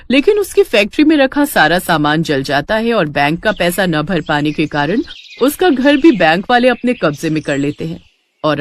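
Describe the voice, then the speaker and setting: Animated female storyteller. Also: fairly low pitch. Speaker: story narrator. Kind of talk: dramatic scripted moral-story narration.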